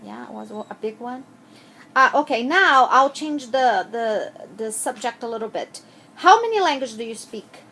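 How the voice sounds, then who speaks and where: Gentle higher-voiced woman interviewer. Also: expressive, normal speed, creaky, a little hiss or hum, poor audio. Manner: gentle, patient questioning with frequent hesitations. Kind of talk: casual interview with a child.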